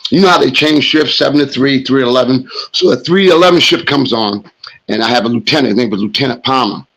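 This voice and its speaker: Husky-voiced man hosting a sports show. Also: medium pitch, quick pace, a bit bright, low-quality recording. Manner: loose, rambling anecdote with fillers. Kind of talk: casual storytelling chat.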